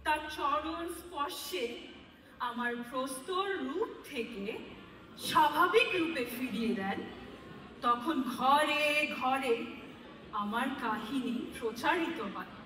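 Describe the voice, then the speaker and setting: An actress playing a proud, wronged mythological wife in a theatrical stage monologue, deliberate and bitterly ironic. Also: female voice, high voice, sharp tone, a little hiss or hum, exaggerated voice. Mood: solemn, wounded. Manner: dramatic, deliberate, declamatory with bitter irony. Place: stage before a live audience. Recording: echoey hall, distant mic, audible crowd.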